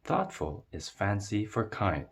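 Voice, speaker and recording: Slow, low-voiced man reading a storybook. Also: sing-song, gravelly, husky. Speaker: read-aloud narrator. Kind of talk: expressive children's storybook narration.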